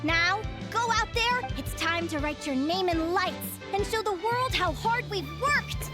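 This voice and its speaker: A woman's voice: very high-pitched, expressive, unhurried. A woman voicing a plucky, encouraging young girl in a fantasy video game, with a youthful, girlish tone. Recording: music behind the voice.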